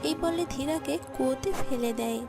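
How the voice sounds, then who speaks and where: High-pitched woman narrating a story. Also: moderate pace, vocal fry, over loud music. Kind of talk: scripted cartoon story narration.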